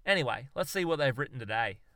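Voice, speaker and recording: A man voicing a brash, boastful self-styled zoologist with an Australian accent, talking loudly in blustering slang. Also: medium pitch, animated, fast, dry recording, clean studio sound. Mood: proud.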